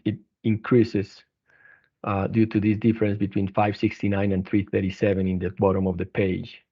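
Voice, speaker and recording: A man presenting company financial results as an executive on an earnings conference call, speaking haltingly with frequent restarts. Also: on the low side. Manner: halting, hesitant delivery with repeated restarts. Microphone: telephone conference line. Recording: narrow, tinny phone-line conference call.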